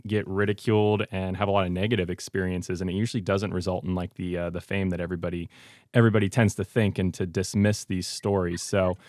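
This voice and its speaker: A man's voice: low voice, flat delivery, quick pace, a little mellow, no room sound, studio quality. A man hosting a podcast interview, talking in an earnest, conversational way as he circles around to his questions. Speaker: podcast host.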